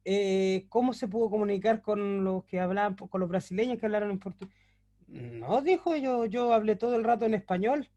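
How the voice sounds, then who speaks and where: A man's voice: sits high, animated, normal speed, dark tone. A man teaching a Bible class, explaining things patiently with frequent fillers and self-corrections, and asking the listeners rhetorical questions to check they follow. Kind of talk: recorded class lecture.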